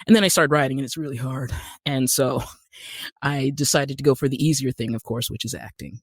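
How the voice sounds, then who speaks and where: A woman's voice: a bass voice, brisk, slightly rough, no room sound, clean studio sound. A middle-aged American woman telling stories casually as an interview guest, with wry, self-deprecating humour. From Utah, United States. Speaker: podcast guest, actor and writer.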